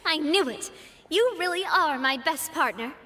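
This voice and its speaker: A woman's voice: very high-pitched, sing-song, slow pace, bright, forceful tone, heavily acted. A woman voicing a confident, playful young woman who leads her own crew in a video game. She teases her friends and laughs easily. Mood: cheerful. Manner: teasing, laughs often.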